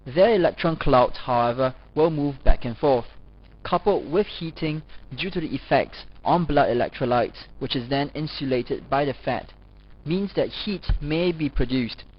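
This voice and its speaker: A man reading scripted educational narration as a lecturer, in a formal, measured manner. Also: a little high, animated. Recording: dull, lo-fi voice-over recording.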